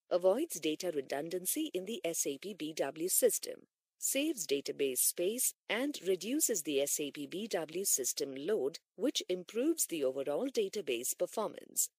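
A woman reading scripted instructional narration in a measured, formal manner, as for an e-learning course. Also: on the low side, darkish tone, husky, no room sound, studio quality.

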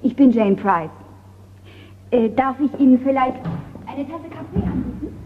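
An actress in a radio crime drama playing a colonel's polite, forthright niece, courteous and direct. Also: female voice, high-pitched, lively intonation, a little slow. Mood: polite, welcoming. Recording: thin phone-like, noisy radio recording.

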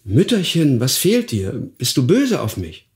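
A man's voice: mid-range voice, huge pitch swings, unhurried, pitched above the performer's own voice. Bewildered enchanted boy played by a male reader, earnest and pleading, storybook style. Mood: anxious. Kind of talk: dramatized fairy-tale audiobook.

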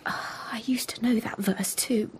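An actress playing a sensitive, troubled young woman in a period radio play, halting, intense and pleading. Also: fairly high pitch, fairly even intonation, in a whisper, natural voice. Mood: hushed, wondering. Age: young adult.

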